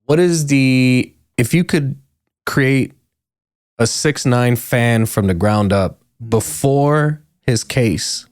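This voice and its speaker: Mid-pitched man, hesitant questions. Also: slow, darkish tone, no room sound, studio quality. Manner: questioning, hesitant, many fillers and restarts. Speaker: podcast co-host. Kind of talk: casual chat.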